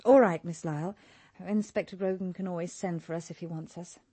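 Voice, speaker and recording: Radio drama investigator: young, British, lower register, practical and composed. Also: female voice, steady tone, a little fast, warm tone, slightly rough. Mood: composed, reassuring. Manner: composed, businesslike. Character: calm, practical young female private detective.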